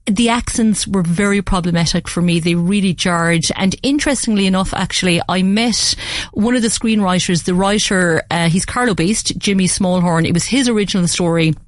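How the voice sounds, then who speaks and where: A woman's voice: lowish voice, moderate pace, no room sound, clean studio sound. An Irish woman presenting an arts radio show in a chatty, opinionated, conversational way. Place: radio studio.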